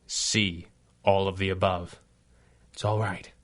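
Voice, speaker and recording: An actor playing a cool, confident masked superhero with a calm, dry, assured manner, in a 1930s-style radio drama. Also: male voice, sits low, takes it slowly, exaggerated voice.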